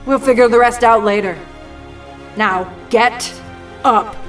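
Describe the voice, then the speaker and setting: Slow-spoken tough woman fighter played by an actress. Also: on the high side, distant mic, faint music behind. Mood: resolute. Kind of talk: audio drama acting.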